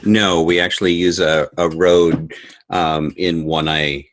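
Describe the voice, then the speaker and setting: A middle-aged or older man chatting casually as an interview guest, with a halting, self-correcting delivery. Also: low-pitched, steady tone, slight background noise. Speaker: podcast guest, audio drama creator. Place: home, in front of bookshelves. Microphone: USB desktop microphone.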